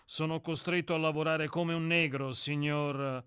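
An actor in a radio play playing an irritable, celebrated writer with a curt, haughty, commanding manner. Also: male voice, on the high side, steady tone, measured pace, fairly bright tone, clear, resonant tone, dry recording, clean studio sound. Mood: impatient, dismissive.

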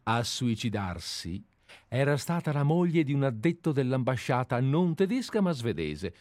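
A man's voice: medium pitch, very animated, moderate pace, darkish tone, no room sound, close to an everyday voice. A man reading the part of a fawning, meticulous male amateur investigator in a radio play, talking with effusive enthusiasm. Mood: enthusiastic.

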